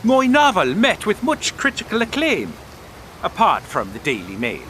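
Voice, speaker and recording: A proud, bitter Irish soldier-writer in a radio drama. His voice is on the high side and very bright, with lively swings of pitch and a sardonic edge. A faint rumble of vehicle noise sits behind him. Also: normal speed, exaggerated voice. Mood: pointed, sardonic. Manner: sardonic, pointed. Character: wry, proud, bitter Irish writer and wartime stretcher bearer. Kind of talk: audio drama acting.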